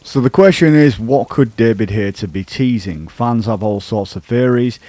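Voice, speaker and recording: A man with a somewhat low-pitched voice presents a scripted video essay as a gaming YouTuber. He explains his points earnestly and in a measured way, in the style of online commentary narration. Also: dark tone.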